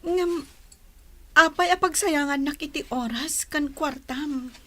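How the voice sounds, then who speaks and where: A woman with an Ilocano accent playing a gentle, hesitant young woman being courted in a radio drama. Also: sits high, takes it slowly. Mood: hesitant, grateful.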